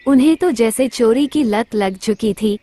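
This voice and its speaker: A woman's voice: a little high, measured pace, warm tone, no echo. A woman reading scripted narration for a children's cartoon story.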